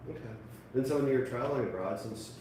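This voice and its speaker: A man's voice: medium pitch, quick pace. Rambling male host in an echoey room. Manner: rambling, hesitant, many fillers. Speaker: podcast host. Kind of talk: casual interview. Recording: distant echoey mic with background noise.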